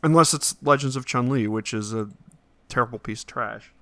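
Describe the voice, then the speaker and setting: Man, mid-pitched voice, casual podcast host, halting phrasing, dry recording. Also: a bit bright, no room sound. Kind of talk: casual movie discussion podcast.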